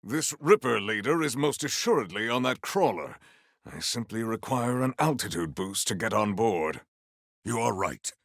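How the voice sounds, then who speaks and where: Battle-hungry video game hero, gravelly, formal grandiose phrasing, animated intonation, dry close studio sound. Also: male voice, fairly low pitch, normal speed, dry recording, heavily acted. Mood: stern, determined, then resolute. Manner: formal, stilted, grandiose diction without contractions. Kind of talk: scripted video game character lines.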